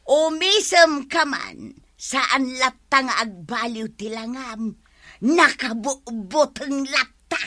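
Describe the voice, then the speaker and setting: Nagging village wife, female: Ilocano accent, dramatic and whiny, high swooping delivery for radio comedy. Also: expressive, slow pace, bright, forceful tone, exaggerated voice. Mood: indignant.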